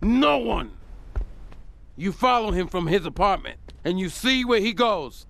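Very high male voice, paranoid drug lord, explosive temper, slow emphatic ranting, video game cutscene. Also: very animated, creaky, fairly bright tone, full character voice. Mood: scheming, commanding. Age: middle-aged.

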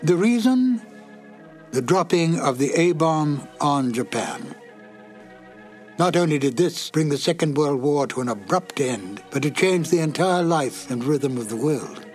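A man giving reflective scripted narration in a wistful, measured manner. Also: fairly high pitch, very animated, darkish tone, faint music behind.

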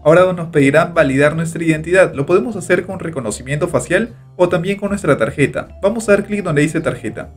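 A man's voice: a little high, a little fast, hardly any pauses, faint music behind. Upbeat man guiding a tutorial. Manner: steady instructional narration, repeats click steps. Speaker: tutorial host. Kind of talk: screen-recorded step-by-step tutorial. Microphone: voice-over over screen recording.

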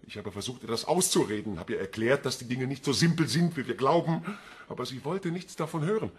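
An actor playing a polite, evasive man under police questioning in a crime radio play, speaking in measured, defensive sentences. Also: medium pitch, animated, breathy edge. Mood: guarded. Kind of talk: radio crime drama acting.

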